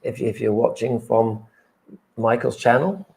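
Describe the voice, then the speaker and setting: A man's voice: medium pitch, steady tone, measured pace, creaky, a little mellow, rough recording. A man who grew up in England, chatting hesitantly on a livestream. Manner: hesitant, hedging. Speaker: livestream co-host. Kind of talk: casual livestream chat.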